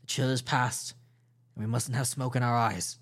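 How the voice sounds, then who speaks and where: A stern, disciplined ship's captain, voiced by a male reader gives orders in a clipped, measured way. He speaks a touch lower and slower than the narration, unbending and formal in a storybook reading. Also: level tone, a bit bright, lightly acted. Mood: commanding. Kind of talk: audiobook character voice.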